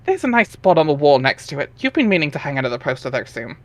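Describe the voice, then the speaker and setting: A man with a slightly high voice narrates a story aloud on a headset mic. His delivery is informal, slipping between reading and casual chat with friends. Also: very animated, fast. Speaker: narrator of a webcomic dramatic reading.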